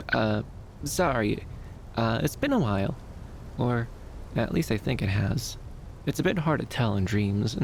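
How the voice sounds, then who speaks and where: A man playing a gentle, shy, dreamy young femboy in an ASMR roleplay, soft-spoken and hesitant, soothing, with lilting upturns. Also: medium pitch, creaky, a little hiss or hum. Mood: shy, surprised.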